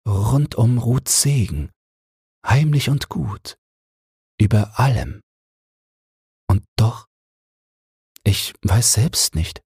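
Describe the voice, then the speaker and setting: A man voicing a gentle, grave queen of summer in a fairy-tale audiobook, speaking softly and slowly with wistful yearning. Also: medium pitch, very animated, husky, no room sound, clean studio sound, voice pushed up, exaggerated voice. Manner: slow, soft, yearning.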